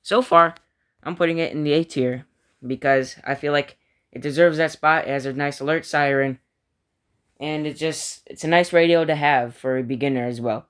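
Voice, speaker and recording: A man's voice: on the high side, fairly even intonation, normal speed, fairly bright tone, clean tone. A man giving casual, opinionated commentary as a hobbyist ranking things, in an informal and slightly self-deprecating way. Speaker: hobbyist video creator.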